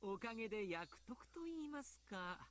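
An actor voicing a mild, polite, teasing doctor in a Japanese visual novel, speaking in a courteous, measured manner. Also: male voice, high-pitched, sing-song, a bit bright. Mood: playfully creepy. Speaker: doctor and baseball coach character.

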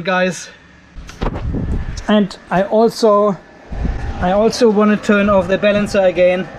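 High-pitched older man vlogging. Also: slight background noise. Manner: chatty, informal, explaining step by step. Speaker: host of off-grid DIY channel. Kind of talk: casual vlog with technical commentary. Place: cluttered garage workshop, indoors.

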